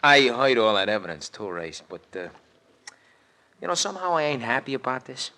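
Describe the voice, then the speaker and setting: An actor playing an easygoing, streetwise sidekick with an American accent and a folksy, hesitant delivery in an old radio drama. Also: male voice, mid-range voice, sing-song, a little fast, bright, forceful tone. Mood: wry regret. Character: easygoing rough-edged male sidekick, streetwise, sentimental. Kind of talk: 1940s-50s radio drama acting. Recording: vintage radio recording.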